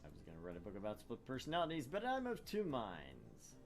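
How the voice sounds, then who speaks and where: A man's voice: medium pitch, huge pitch swings, quick pace, gravelly, faint music behind. A man reading a visual novel aloud as narrator, dropping in wry, joking casual banter. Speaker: let's play host narrating a visual novel.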